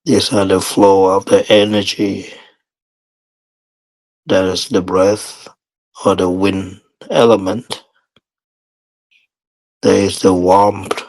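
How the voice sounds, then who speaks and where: A man teaching guided meditation in short, measured phrases with long pauses between them. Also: low voice, flat delivery, rough recording.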